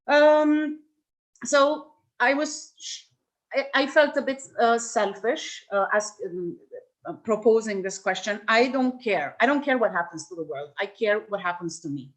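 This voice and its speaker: Reflective woman workshop speaker, fairly high. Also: unhurried. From Lebanon. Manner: reflective, hesitant, with frequent restarts and fillers. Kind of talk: personal talk to a workshop.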